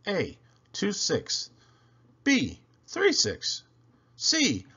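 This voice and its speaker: A man reads a children's story aloud with wildly swooping, expressive intonation. He takes his time and stresses questions and lists theatrically. The recording sounds a little dull and lo-fi. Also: sits high, drawn-out words, slightly rough. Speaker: storyteller reading a picture book aloud. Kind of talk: animated children's read-aloud narration.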